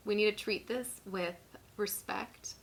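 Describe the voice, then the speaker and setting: A woman in her twenties hosting a casual comedic vlog, giggly, animated and self-mocking, sometimes mock-solemn as she reads aloud. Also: mid-range voice, unhurried, poor audio. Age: 20s. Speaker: vlog host reading own teenage writing. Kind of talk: casual comedic vlog chat with dramatic reading.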